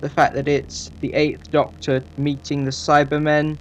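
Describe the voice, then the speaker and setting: A man giving an informal review commentary as a reviewer, in a conversational, somewhat hesitant and measured manner. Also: fairly high pitch, completely flat delivery.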